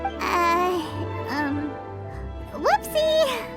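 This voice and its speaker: An actress voicing a tiny, childlike fairy companion in a video game, cheeky and eager, with a squeaky tone. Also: female voice, big pitch swings, drawn-out words, exaggerated voice. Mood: puzzled, wry. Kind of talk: scripted video game voice acting. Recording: game audio with music over it.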